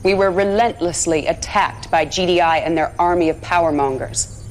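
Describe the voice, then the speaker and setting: An actress playing a devout propagandist of a militant brotherhood, giving a solemn, measured and righteous address in a video game. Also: female voice, on the low side, slight background noise, natural voice. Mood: aggrieved, solemn.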